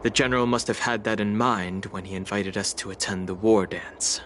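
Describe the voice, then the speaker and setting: An actor playing a grave, weary, analytical man in an anime game, measured, serious and suspicious. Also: fairly low pitch, steady tone, a bit bright, slight background noise, close to an everyday voice. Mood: grave, pensive.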